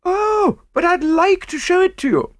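A man voicing a gushing, chatty wealthy middle-aged woman in a high-pitched manner, in an audiobook. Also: lively intonation, measured pace, no echo. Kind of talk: audiobook character voice.

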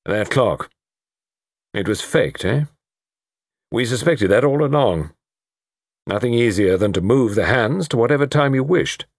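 A man voicing a brisk, stern middle-aged chief constable in a storybook, questioning in a clipped, official way. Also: mid-range voice, creaky, dry recording, clean studio sound, voice pushed up, heavily acted.

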